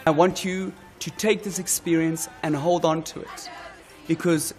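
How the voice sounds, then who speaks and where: A man's voice: fairly high pitch, little pitch movement, measured pace, darkish tone, faint music behind, a faint crowd. A man in his thirties, a drama teacher, telling a heartfelt story in an interview on an empty stage. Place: empty school stage, indoors.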